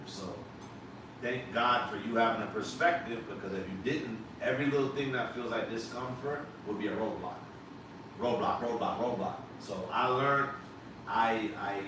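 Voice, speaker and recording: A man hosting a live podcast, talking emphatically and animatedly to his guest and casually dropping swear words. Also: on the low side. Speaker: podcast host. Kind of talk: casual interview chat. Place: live podcast set on a couch. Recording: echoey room, distant mic, background noise.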